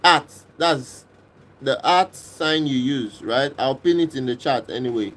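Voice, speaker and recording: Slow-talking man reading out live chat. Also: medium pitch, a bit bright. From Kenya. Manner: unhurried, repeats himself, answers viewers by name. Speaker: livestream host reading chat.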